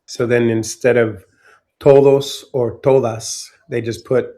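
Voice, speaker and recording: A man joining a casual group call to explain something, speaking in quick runs. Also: medium pitch, little pitch movement, dark tone. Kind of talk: casual video call chat.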